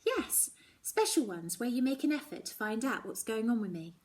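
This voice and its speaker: A woman's voice: on the high side, very animated, moderate pace. A woman voicing an angry, hurt schoolgirl in a storybook, with an impassioned, emphatic delivery. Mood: indignant. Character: angry, hurt schoolgirl narrator, confronting her mother.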